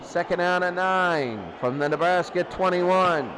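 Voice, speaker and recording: A man doing excitable play-by-play football commentary in a radio style, often repeating key phrases. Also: sits high, a little slow, noisy background.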